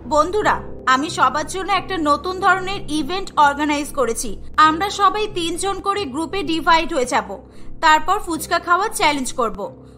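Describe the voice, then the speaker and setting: A woman voicing an excitable, confident, food-loving college girl in a cartoon, with a girlish manner. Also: very high voice, moderate pace, soft background music, heavily acted. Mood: excited. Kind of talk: cartoon voice acting.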